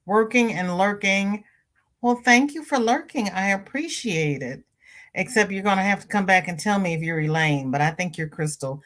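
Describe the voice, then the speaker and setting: A woman hosting a crochet livestream, chatting casually and reading viewer comments. She sounds stuffy-nosed and greets viewers by name with high, bright lifts in her voice. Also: animated, moderate pace. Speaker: crochet channel host.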